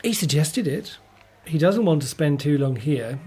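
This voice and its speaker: An actor playing a plain-spoken, easygoing disciple in a radio play, casual and matter-of-fact. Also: male voice, on the high side, lively intonation, a little slow, mellow, slightly rough.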